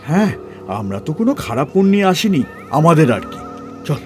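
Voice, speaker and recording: Animated, high-pitched bossy man. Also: moderate pace, faint music behind. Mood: curious. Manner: animated, commanding, colloquial. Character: bossy, curious man in charge, exploring a ruin. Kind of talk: Bengali audio story acting.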